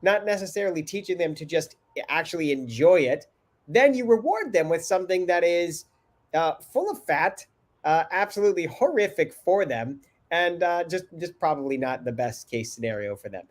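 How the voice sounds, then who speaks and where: A middle-aged man hosting a live video chat from home over a webcam, upbeat and energetic with many fillers, sounding a little congested. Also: fairly high pitch, moderate pace. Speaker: host. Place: home room indoors. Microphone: video call webcam.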